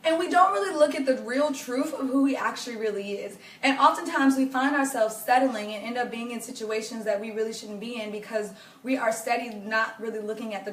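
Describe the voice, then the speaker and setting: A young woman with a hoarse, raspy voice, chatting earnestly with viewers during a live stream from her home. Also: on the high side, distant mic, a little hiss or hum. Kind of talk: casual faith-based advice talk to viewers. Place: home living room. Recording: echoey room, voice off-mic.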